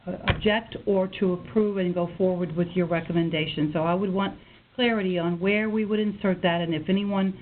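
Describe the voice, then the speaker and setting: A woman's voice: on the low side, fairly even intonation, fairly quick. A woman acting as committee chair, formally running a meeting on a conference call. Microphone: telephone. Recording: tinny conference phone line with noise.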